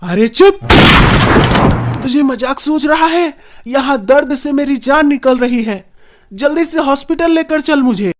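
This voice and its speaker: A woman's voice: high-pitched, animated, measured pace, a little mellow, a little hiss or hum. A woman playing a scheming, nosy older mother-in-law in a theatrical, complaining cartoon family drama style. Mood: sarcastic, pained. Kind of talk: animated family drama acting.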